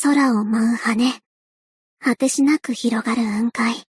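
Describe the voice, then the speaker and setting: A gentle young woman aviator speaks in a high, light voice, soft and reassuring. Her delivery stays calm and kind, the tone of a game character. The recording is close and completely dry, with no room around it. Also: slow, husky, no echo, exaggerated voice. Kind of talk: anime-style game voice acting. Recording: dry studio booth.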